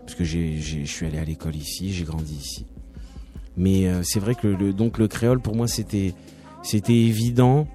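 A musician guest, a man from France, talking in a relaxed, chatty way with many restarts. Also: sits low, normal speed, faint music behind. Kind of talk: casual interview talk.

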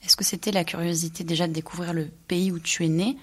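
A woman hosting a radio-style interview, reading a scripted introduction and then asking calm, measured questions. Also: fairly low pitch, slightly rough. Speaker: host.

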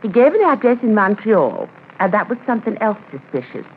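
Chatty, muddled cabin landlady played by a woman, eager and gossipy, very animated delivery, tinny old radio sound. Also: medium pitch, a little fast, lightly acted. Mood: chatty, confiding. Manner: rambling, gossipy, self-correcting. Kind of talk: 1950s radio drama acting. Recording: tinny, noisy 1950s radio transcription.

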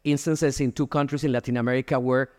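A man speaking as a panelist at a conference, explaining figures in a measured way with frequent fillers. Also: mid-range voice, steady tone. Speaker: airline association panelist. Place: conference hall. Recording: reverberant conference hall.

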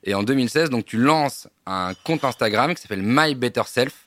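Fast-talking man hosting. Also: medium pitch. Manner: relaxed, chatty, friendly fillers. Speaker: host. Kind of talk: casual interview over drinks. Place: restaurant wine cellar.

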